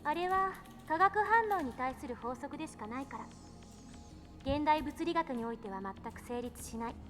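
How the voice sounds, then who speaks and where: A woman's voice: sits high, animated, quick pace, soft background music. A woman voicing a composed, intellectual eighteen-year-old female scientist in a visual novel game, lecturing precisely and assertively.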